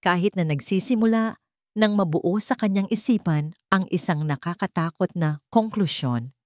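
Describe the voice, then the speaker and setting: A woman's voice: on the low side, moderate pace, a little mellow, dry recording, studio quality. A woman narrator reading a scripted romantic drama story in a dramatic storytelling style.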